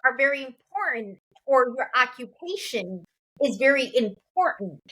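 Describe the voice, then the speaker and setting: A woman's voice: high voice, normal speed. A woman in her forties from Chicago, hosting a casual interview chat and often echoing her guest's words. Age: 40s. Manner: lively, repeats and echoes her guest. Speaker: host.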